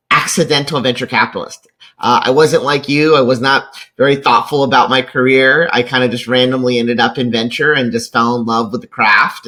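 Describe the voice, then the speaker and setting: A man's voice: medium pitch, sharp tone, poor audio. A man in his forties with an American accent talks conversationally as an interview guest, enthusiastic and often checking his points with a tag question. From rural Kentucky, United States. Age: 40s. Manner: enthusiastic, peppers points with "right?". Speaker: podcast guest, venture capitalist and lecturer.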